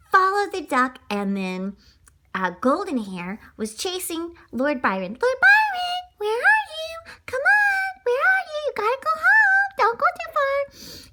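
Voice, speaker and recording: A woman with a high, bright voice reads a children's story aloud. Her delivery is very lively and dramatic, dotted with spoken sound effects. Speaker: storybook reader.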